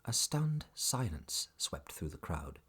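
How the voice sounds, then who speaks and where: A man's voice: deep voice, big pitch swings, slow, fairly bright tone, slightly rough, full character voice. A man narrating a family audio drama as a scripted storybook tale, dramatic and whimsical.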